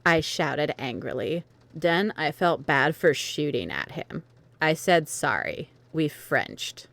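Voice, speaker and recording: A woman's voice: sits low, animated, slow pace, creaky, a bit bright, no room sound. A woman narrating a dramatic reading of fan fiction, with some commentary of her own.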